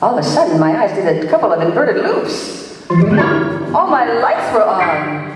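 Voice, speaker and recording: A woman's voice: mid-range voice, sing-song, a little slow, over music. An actress playing a brash, wisecracking female private detective in an old-time radio play, with a sassy, dry manner. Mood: wry. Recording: echoey hall, distant microphone.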